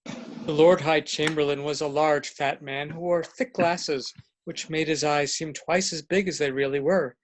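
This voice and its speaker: A man's voice: on the high side, fairly even intonation, measured pace. A man reading storybook narration as a narrator.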